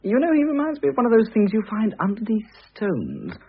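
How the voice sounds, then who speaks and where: An actor playing a cheeky grown son in a radio drama, clipped, disdainful and spiteful. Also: male voice, high-pitched, huge pitch swings, brisk, husky, full character voice. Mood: scornful, breezy. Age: young adult. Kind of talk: classic British radio drama acting. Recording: dull old radio recording.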